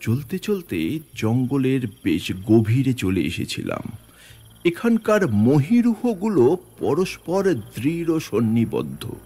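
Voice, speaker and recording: Guy telling a scary story, really slow and dramatic, pitch swings around, music under it. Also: sing-song, mellow, breathy edge. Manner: suspenseful, theatrical, deliberate phrasing. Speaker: audio story narrator. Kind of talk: dramatic first-person horror storytelling.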